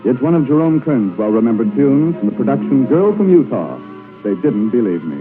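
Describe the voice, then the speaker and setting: A man's voice: medium pitch, big pitch swings, a little fast, narrow, tinny audio, faint music behind. An American man working as a radio announcer in the scripted style of the 1940s, on an old broadcast recording.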